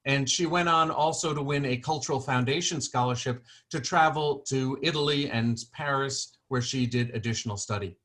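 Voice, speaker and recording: Man hosting over a video call, earnest and measured, with frequent restarts. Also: medium pitch, fairly bright tone. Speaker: host. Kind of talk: formal welcome and interview.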